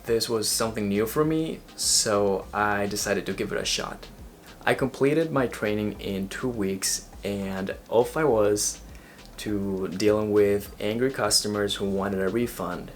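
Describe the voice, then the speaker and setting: A man's voice: on the low side, measured pace, slight background noise. A young man from Ecuador with an Ecuadorian Spanish accent, talking earnestly and motivationally in a personal vlog, now and then restarting a phrase. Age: young adult. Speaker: vlogger.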